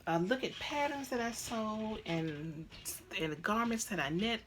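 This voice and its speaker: A woman's voice: mid-range voice, animated, unhurried, a bit bright, slight background noise. A woman in her fifties hosting a crafting podcast, chatting casually at home with lots of ums and restarts. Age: 50s. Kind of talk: casual chat to camera. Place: at home, indoors.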